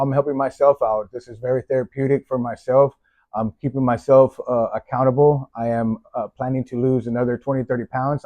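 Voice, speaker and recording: A man's voice: mid-range voice, dry recording. A middle-aged man from Texas, vlogging in a rambling, casual way with many fillers and restarts. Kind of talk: casual faith-based vlog intro. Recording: dull, dry lo-fi sound.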